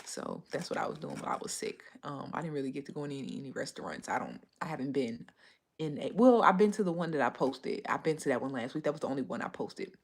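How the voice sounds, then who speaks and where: A woman's voice: extremely low pitch, sing-song, quick pace, gravelly, breathy edge. A woman hosting a casual livestream, chatting and answering viewer comments, a bit stuffy from a cold. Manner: chatty, rambling, reads viewer comments aloud. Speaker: livestream host.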